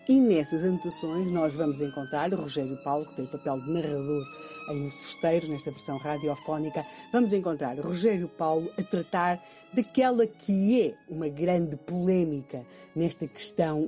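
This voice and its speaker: A woman presenter with a low, dark voice and a European Portuguese accent. She explains with emphasis, repeating key phrases as in a radio documentary, over faint music.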